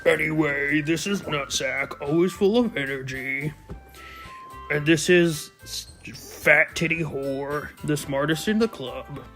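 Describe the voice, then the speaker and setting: A man's voice: fairly high pitch, slow, pushed and bright, soft background music, heavily acted. A man voicing a cheerful, airheaded teenage schoolgirl in a visual novel, in a pleading, sing-song way. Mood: bubbly.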